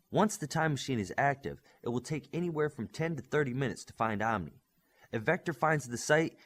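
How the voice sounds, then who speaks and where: A man's voice: medium pitch, husky. A man voicing a young, earnest superhero squad leader in an audiobook, giving orders in an emphatic briefing style. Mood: commanding, urgent. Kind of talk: audiobook character dialogue.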